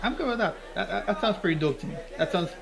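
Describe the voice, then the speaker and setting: Teasing young man, high voice. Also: expressive, quick pace, vocal fry, music in the background. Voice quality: blunt, teasing quips. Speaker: podcast co-host. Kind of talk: casual chat.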